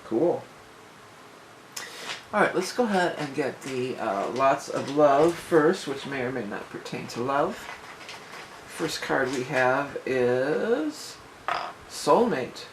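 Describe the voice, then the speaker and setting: A woman with a raspy, sore-throated voice, chatting loosely through a casual tarot reading, with asides and restarts. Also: rumbling low, lively intonation, takes it slowly, long pauses, noisy background. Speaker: tarot reader, channel host.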